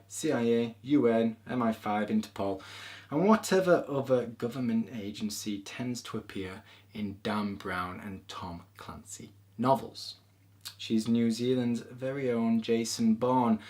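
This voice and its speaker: A man with a Yorkshire accent hosting an interview podcast, reading a playful introduction and chatting with his guest. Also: mid-range voice. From England. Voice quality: lively, playful storyteller. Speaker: podcast host. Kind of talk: casual interview with a read-out intro.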